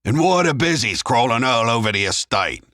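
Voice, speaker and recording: A man's voice: a bass voice, very animated, measured pace, creaky, fairly bright tone, breathy edge, no room sound, clean studio sound, heavily acted. A man voicing a suspicious, sneering crime boss with a confrontational, barking manner in an audiobook thriller. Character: gruff, suspicious male criminal boss, sneering.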